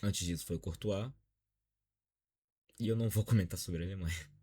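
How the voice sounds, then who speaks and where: A man's voice: low voice, brisk, dark tone, breathy edge. A Brazilian man in his twenties with a Brazilian accent, giving a dejected, frank football opinion vlog. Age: 20s. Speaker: football commentator.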